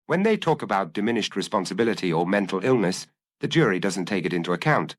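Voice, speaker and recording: A man's voice: sits low, sing-song, fast talker, no echo, clean studio sound. A man voicing an anguished young killer who earnestly confesses and analyzes his compulsion in a dramatic audiobook reading. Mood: desperate.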